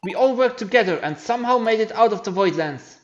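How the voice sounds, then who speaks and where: A man's voice: high voice, lively intonation, fast, lo-fi sound, a little hiss or hum, pitched above the performer's own voice. A man voicing an earnest, defiant young hero creature in a video game story, over music. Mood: determined. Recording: music behind the voice.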